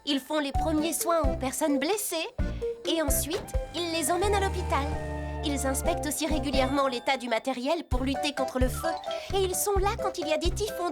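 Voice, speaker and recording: A woman's voice: high-pitched, lightly acted. A woman voicing a kind young female teacher explaining things in a children's cartoon. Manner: didactic, clearly explaining. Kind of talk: dubbed educational children's cartoon.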